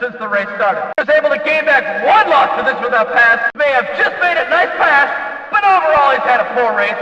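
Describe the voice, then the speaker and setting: Excitable high-pitched race track announcer. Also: male voice, a little fast, exaggerated voice. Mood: measured, critical. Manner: folksy, emphatic, dramatic. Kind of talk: excited motorsport race commentary. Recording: echoey track PA, slightly dull, distant.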